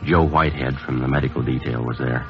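A stoic radio cop, really deep voice, flat clipped delivery, muffled old broadcast w music behind. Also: male voice, fast talker. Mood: somber. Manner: deadpan, understated, terse. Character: stoic male police sergeant, grieving his longtime partner. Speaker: actor and narrator in a radio police drama. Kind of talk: 1950s radio acting, clipped scripted narration.

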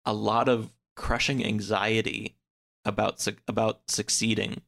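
A man in a casual podcast chat, recapping a story in a halting, hedging way. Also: lowish voice, level tone, vocal fry, fairly bright tone. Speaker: podcast speaker discussing books.

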